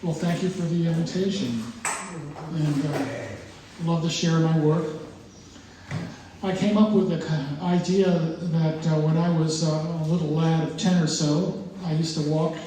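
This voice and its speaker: An older man, the exhibiting artist, giving an informal, halting talk about his work with many pauses and restarts. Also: fairly high pitch, steady tone, mellow. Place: art gallery, indoors. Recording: very echoey room, voice far from mic, faint noise.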